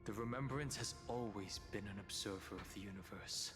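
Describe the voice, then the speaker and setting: An actor playing a serious, analytical investigator and guide in a video game, speaking in measured exposition. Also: male voice, lowish voice, long reverb tail, music in the background, close to an everyday voice. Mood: pensive. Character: grave, analytical male investigator and protective guide. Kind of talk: scripted video game acting.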